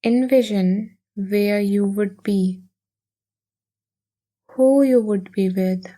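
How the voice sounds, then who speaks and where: A woman leading a guided meditation in a soothing, gentle, unhurried manner with long pauses. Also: medium pitch.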